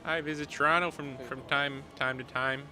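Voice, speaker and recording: A man's voice: medium pitch, steady tone, normal speed, over music. A man from Montana hosting a casual stream watchparty, chatty and joking, swearing casually, at a desk mic. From Montana, USA.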